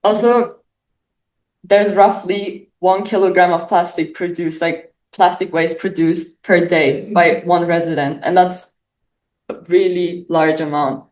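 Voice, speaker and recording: A woman's voice: on the low side, fairly even intonation, unhurried, a little mellow, roomy sound, distant mic. A teenage girl from Germany giving a casual student presentation, filling her sentences with "like". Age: teens. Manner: casual, lots of "like" fillers. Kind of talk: class presentation.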